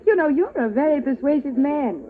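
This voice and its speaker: An actress playing a cool, witty femme fatale in a 1940s radio drama, with a dry, teasing, sophisticated manner. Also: female voice, high voice, lively intonation, fairly quick. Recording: tinny lo-fi old radio broadcast.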